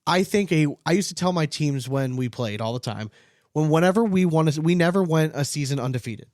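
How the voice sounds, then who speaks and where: A man's voice: fairly high pitch, animated, fairly quick, a little mellow, no echo, clean studio sound. A man co-hosting a casual sports talk show, ranting with strong opinions and swearing freely. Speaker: podcast co-host, former team coach.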